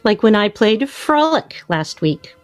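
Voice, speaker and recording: Woman acting a mild demure middle aged wife, radio play, lively intonation, close dry sound. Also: medium pitch, a little slow, no room sound, soft background music.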